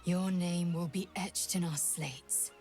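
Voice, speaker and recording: Slow, proud warrior woman. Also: on the low side, fairly even intonation, a little mellow, soft background music. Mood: solemn pride. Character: stern alien warrior woman, proud, fiercely devoted. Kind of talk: dramatic video game acting.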